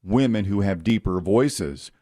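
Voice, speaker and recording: A man with a fairly low, very lively voice, co-hosting a morning radio show. He chats and laughs easily into a studio mic, and the sound is dry and close. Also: unhurried, no echo. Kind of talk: casual radio banter.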